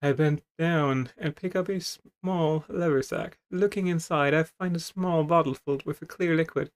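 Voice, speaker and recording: High-voiced man reading a game aloud theatrically. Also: moderate pace, smooth. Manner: theatrical, stumbles over written text. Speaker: video game player and streamer. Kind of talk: dramatic read-aloud with casual commentary.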